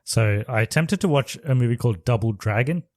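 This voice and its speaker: A man's voice: medium pitch, expressive, brisk, mellow, dry recording. Man chatting casually, dry close sound. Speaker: podcast co-host. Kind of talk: casual movie review chat.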